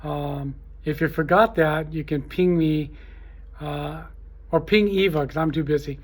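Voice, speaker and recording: A man hosting a trade show gives an informal, unscripted promotional talk, speaking in a halting, repetitive, salesy way. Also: on the high side, steady tone. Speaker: trade show host promoting his event.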